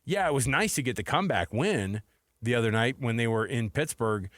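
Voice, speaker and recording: A man's voice: mid-range voice, lively intonation, brisk, fairly bright tone, dry recording, clean studio sound. A middle-aged American man hosting a sports radio show, talking casually and conversationally about games. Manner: informal, conversational. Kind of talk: casual sports talk with commentary. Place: radio studio. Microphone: close broadcast microphone.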